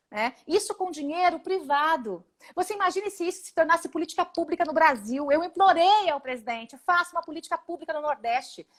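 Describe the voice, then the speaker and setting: A high-pitched Brazilian woman in her forties talks over a video call. She is emphatic and indignant and often runs through quick lists. She speaks as a congresswoman guest in a live interview. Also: fairly bright tone. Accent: Brazilian Portuguese. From Paraná, Brazil.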